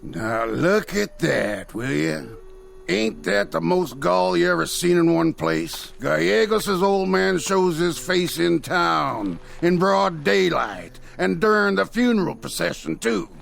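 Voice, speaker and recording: Gravelly, taunting Old West peddler. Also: male voice, sits high, lively intonation, slow pace, fairly bright tone, a little hiss or hum, full character voice. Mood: scornful. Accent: American. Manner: folksy, goading, drawling. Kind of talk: radio drama acting. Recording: music underneath.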